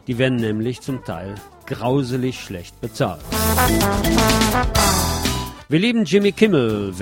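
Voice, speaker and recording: A man's voice: mid-range voice, darkish tone. A man presenting a radio magazine as host, reading scripted narration with personal asides.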